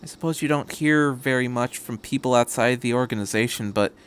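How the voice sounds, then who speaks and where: A man voicing a timid, grateful mother with a soft, hesitant manner in a story reading. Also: medium pitch, fairly quick, smooth, lightly acted. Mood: grateful, nervous. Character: timid, grateful mother speaking softly to a boy.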